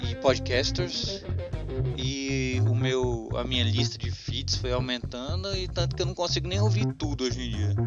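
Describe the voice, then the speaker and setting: A man's voice: medium pitch, moderate pace. A Brazilian man giving a casual recorded testimonial as a guest contributor. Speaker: podcast listener, guest contributor. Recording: dull lo-fi voice recording. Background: loud guitar music.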